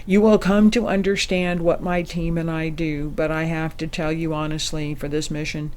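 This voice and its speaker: A man playing a composed, candid ambassador in a science fiction drama, speaking in a measured, diplomatic way. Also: on the high side, little pitch movement, darkish tone, clear, resonant tone, close to an everyday voice. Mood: reassuring, candid. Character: composed, candid senior ambassador leading a secret space mission. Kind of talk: acted dialogue.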